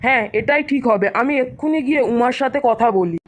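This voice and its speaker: A poor, hard-working farmer man, acted by a woman, talks in a high voice. He is earnest and plain-spoken, a humble cartoon hero. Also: animated, fast. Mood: wondering, resolved.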